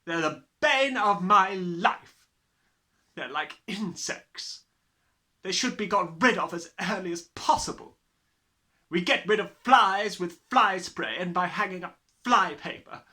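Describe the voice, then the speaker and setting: A reader putting on a giant, bullying headmistress who bellows her contempt in a raised, bright, hard-edged voice. She swings wildly between sneering and roaring, with a pitch that leaps all over. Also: expressive, slow pace. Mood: scornful. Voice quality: booming, contemptuous. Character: huge furious female headmistress, bullying.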